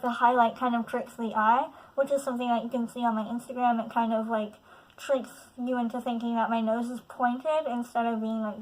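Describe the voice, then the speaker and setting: A woman's voice: a little high, low-quality recording. Nasal young woman with a lisp. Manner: halting, frequent "like" fillers, candid. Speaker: vlogger. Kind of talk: personal confessional vlog.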